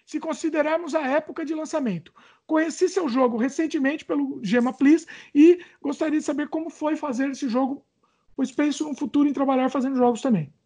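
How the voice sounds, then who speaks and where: A chatty woman with a Brazilian accent who hosts the talk and reads viewer questions aloud. She often stumbles over words and laughs them off. Her voice sits high. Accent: Brazilian Portuguese. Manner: chatty, stumbles over reading, jokes about her age. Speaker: host reading viewer questions. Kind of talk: casual chat.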